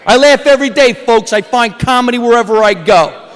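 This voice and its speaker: A stand-up comedian with a New Jersey accent, delivering a deadpan wisecracking set onstage. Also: male voice, very high voice, fairly quick. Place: comedy awards stage. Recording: long hall reverb from a stage.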